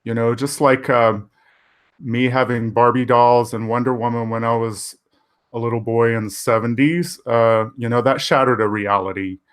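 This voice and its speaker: A man in his fifties, a panel guest, speaking earnestly and thoughtfully with pauses. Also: medium pitch, fairly even intonation, clear, resonant tone. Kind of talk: casual panel discussion.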